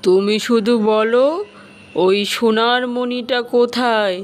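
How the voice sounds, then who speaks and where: A woman voicing a mysterious female spirit from the underworld in a cartoon fairy tale, commanding and deliberate, stern yet benevolent. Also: fairly high pitch, steady tone, slight background noise. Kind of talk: animated fairy tale dialogue. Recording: voice over light background music.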